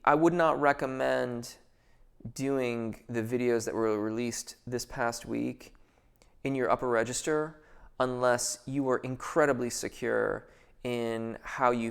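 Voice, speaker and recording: A man's voice: medium pitch, gravelly, clean tone. A man answering viewer questions as a vocal coach in a casual live Q&A, thoughtful and hesitant, with many fillers and restarts before careful technical explanations.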